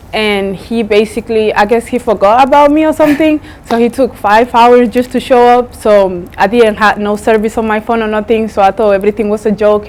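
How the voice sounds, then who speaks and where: A woman's voice: fairly high pitch, a little mellow. A woman in her early twenties from Spain, a non-native English speaker, giving casual, informal interview answers. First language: not English. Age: early 20s. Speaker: student basketball player.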